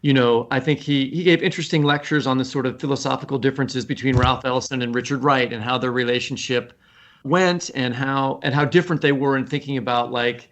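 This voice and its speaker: A man's voice: medium pitch, fairly even intonation, brisk. A man guesting as a writer on a literary podcast, talking earnestly and thinking aloud as he explains. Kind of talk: conversational literary podcast discussion.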